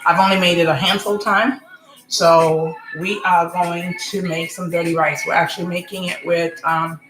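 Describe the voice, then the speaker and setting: A woman's voice: lowish voice, level tone, moderate pace, background noise. Chatty woman from Senegal, echoey kitchen. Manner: chatty, rambling, frequent ums and "okay?". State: slightly hoarse voice. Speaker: home cook, channel host. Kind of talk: casual live cooking stream. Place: home kitchen. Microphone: computer or phone camera mic.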